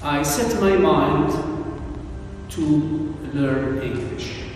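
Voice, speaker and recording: An Algerian man, a native Arabic speaker, speaks slowly and deliberately, pausing and restarting as he answers. He sounds far from the mic in a strongly echoing room with a long reverb tail and a faint hiss behind him. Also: on the high side. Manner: slow, measured, with many pauses and restarts. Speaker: English teacher, guest. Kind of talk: interview answers.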